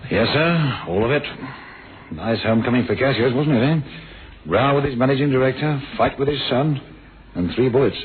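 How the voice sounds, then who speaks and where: A man's voice: mid-range voice, expressive, moderate pace, phone-quality sound, a crowd in the background, natural voice. An actor playing a chatty, wry police sergeant in a radio drama, clipped and deferential to his superior. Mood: wry, dutiful. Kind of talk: 1970s radio drama acting. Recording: noisy, thin old radio recording.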